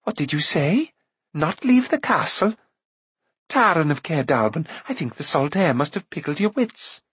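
A man's voice: fairly high pitch, animated, slightly rough, dry recording, voice pushed up. A man voicing a headstrong young princess from a storybook, chattering and exclaiming with eager indignation. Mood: indignant. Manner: rushed, breathless, exclaiming chatter.

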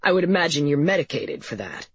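A weary male investigator, played by a woman narrator, speaks bluntly and with control. The voice is husky and firm, on a slightly muffled audiobook recording. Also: deep voice, big pitch swings, quick pace, no room sound, exaggerated voice. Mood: measured. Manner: blunt, controlled, formal when questioning.

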